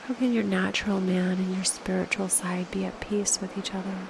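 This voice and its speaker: A woman leads a guided meditation in a soft, unhurried way, leaving space between phrases. Her mid-pitched voice has a noticeable creak, and phrase endings fall away into breath. Clear ambient noise sits behind her. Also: steady tone. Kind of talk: slow guided meditation with reflective questions.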